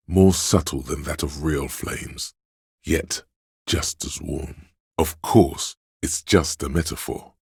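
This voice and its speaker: Very deep, seductive voice of addiction. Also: male voice, vocal fry, husky, no echo, studio quality, exaggerated voice. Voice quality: very deep, resonant. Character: seductive, indulgent inner voice of addiction and craving. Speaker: video game voice actor. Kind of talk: theatrical second-person game narration.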